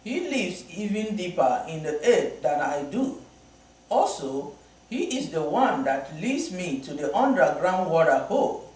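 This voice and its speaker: A wise, blind old mole speaks in a slow, measured way, as a man reads him in a storybook. The recording rings with heavy echo and sits far from the mic. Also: on the high side, very animated, a little mellow. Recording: very echoey room, from far off.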